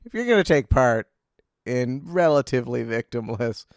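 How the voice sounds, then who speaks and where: A man with a creaky, gravel-edged voice trades casual banter as a radio co-host. His delivery is wry and understated. He sounds close, in a dry space with no echo. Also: mid-range voice, expressive, normal speed. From Canada. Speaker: co-host of a local music and chat radio show.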